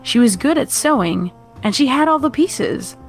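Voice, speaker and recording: A woman's voice: high voice, animated, normal speed, soft background music. A woman narrator reading a scripted horror story.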